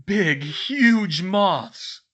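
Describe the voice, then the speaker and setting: A man voicing excitable, mischievous teenage twin brothers in a loud, exclamatory way for a storybook reading. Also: mid-range voice, normal speed, dull audio. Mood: breathless alarm. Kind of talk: audiobook character voice.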